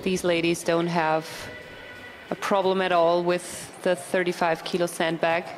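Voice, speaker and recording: Excited female co-commentator with faint music in the background. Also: fairly low pitch. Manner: enthusiastic, quick to react. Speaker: event co-commentator. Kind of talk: live sports commentary.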